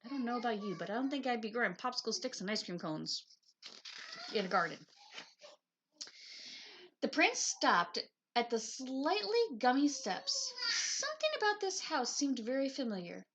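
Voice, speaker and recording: A woman narrating a children's picture book aloud in an expressive storytelling style. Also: fairly high pitch, normal speed, pauses often, slight background noise. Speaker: picture book reader, teacher.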